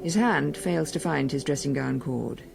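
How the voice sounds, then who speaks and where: An actress playing a weary, observant wife, narrating in a radio drama. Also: female voice, low-pitched, moderate pace. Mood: flat, disdainful. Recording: clear background noise of scene ambience.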